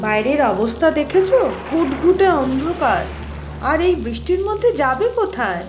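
A woman's voice: high-pitched, big pitch swings, normal speed, over music. A woman voicing a practical, reassuring young sister-in-law in a cartoon, homely and chiding, with a roomy echo. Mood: alarmed, chiding. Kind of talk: Bengali cartoon voice acting.

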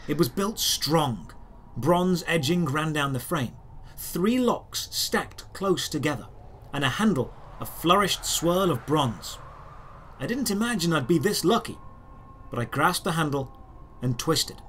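Guy narrating a fantasy audio drama, kinda high voice, measured pauses, faint noise behind him. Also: a bit bright. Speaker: writer and narrator. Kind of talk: dramatic scripted first-person fantasy narration.

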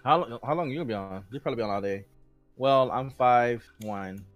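Brief: male teammate, easygoing slang banter, average pitch, faint music. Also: fairly quick. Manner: slangy, laid-back banter. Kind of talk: casual gaming chat.

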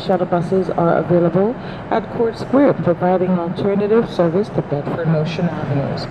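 Woman doing station announcements, lowish voice, clear rumble behind her. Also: moderate pace. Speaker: transit announcer. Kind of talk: scripted public transit announcement. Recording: muffled, noisy station capture.

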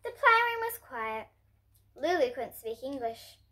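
A young girl of about ten to twelve reading a children's storybook aloud in an expressive way. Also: sits high, unhurried. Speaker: host reading picture books for kids. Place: indoor room in front of bookshelves.

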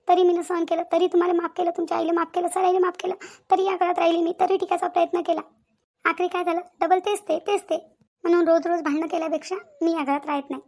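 A woman's voice: very high voice, a little mellow. A woman voicing an aggrieved young daughter-in-law in a cartoon family drama, insistently repeating herself. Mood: resolute, hurt. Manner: repeats phrases insistently, rhetorical questions. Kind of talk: Marathi cartoon family comedy-drama acting.

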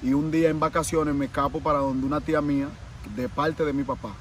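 A man's voice: a little high, flat delivery, fast, a little hiss or hum. Dominican man, earnest, far from mic. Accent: Dominican Spanish. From Dominican Republic. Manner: earnest, repeats phrases, restarts often. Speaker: interview guest, vodou practitioner. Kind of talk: casual interview.

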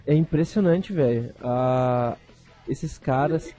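A young man from Brazil hosting a casual podcast chat, talking in a relaxed, slangy way and drawing out points for emphasis. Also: medium pitch, slow. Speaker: podcast host. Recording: faint music behind.

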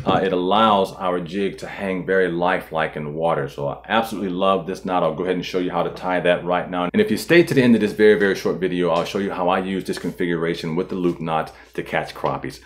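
A man in his forties, host of a fishing channel, calmly walking viewers through tying a knot step by step, filmed indoors at a table. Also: low-pitched. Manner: calm, methodical, walks through each step. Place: indoor studio in front of a boat.

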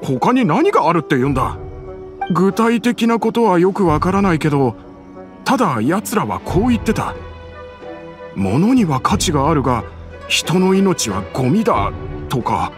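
A man's voice: a little high, sing-song, moderate pace, music in the background. A man voicing a nervous young male robbery victim who speaks in an anxious, shaken way. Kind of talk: Japanese game voice acting.

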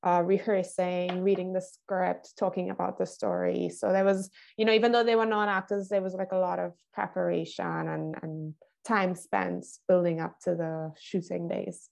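Creaky-voiced young woman from Trinidad. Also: lowish voice, level tone, normal speed, few pauses, darkish tone. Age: young adult. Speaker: film director being interviewed. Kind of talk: casual interview talk. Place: indoor room, video call. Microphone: wired earphones on a video call.

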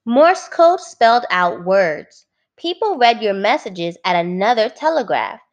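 Female primary teacher for a children's read-aloud: mid-pitched, very animated intonation, clear instructive phrasing. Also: unhurried, muffled recording. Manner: clearly phrased, instructive, addressing young listeners.

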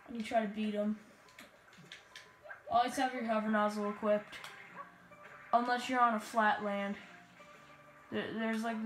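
A woman chatting her way through a video game, rambling in an unhurried way with sudden excited outbursts. Her voice is fairly high and echoes around the room, with game music playing underneath. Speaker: let's play gamer. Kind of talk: casual gameplay commentary. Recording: roomy echo with game music.

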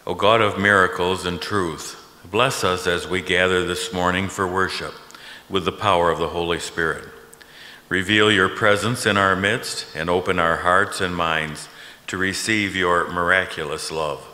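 Older male pastor, solemn and unhurried, bright forceful tone, reverberant sanctuary. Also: sits low, steady tone. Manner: solemn, measured. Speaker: pastor leading worship. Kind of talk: liturgical reading and prayer. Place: large church sanctuary, at a pulpit. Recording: echoey church hall, long reverb.

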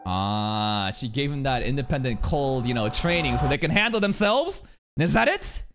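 A man's voice: medium pitch, big pitch swings, normal speed. A young man giving casual live reaction commentary, exclamatory and swearing freely, on a close microphone. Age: young adult. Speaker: streamer reacting to a game trailer. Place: small room indoors. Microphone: close microphone on a boom arm. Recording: music from the trailer behind. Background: music.

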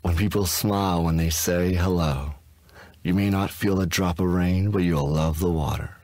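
A man narrates a travel commercial in a low voice. His read is unhurried and inviting, and the recording is very dry. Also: steady tone, a little mellow, dry recording. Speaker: voice actor.

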